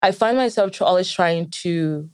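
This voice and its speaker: A young woman with a smooth, clear voice on the lower side and an American accent with traces of Nigerian. She speaks reflectively and with animation as an interviewee, in a close, dry recording. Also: moderate pace, no echo. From Nigeria and the United States. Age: young adult. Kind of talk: reflective personal storytelling in an interview.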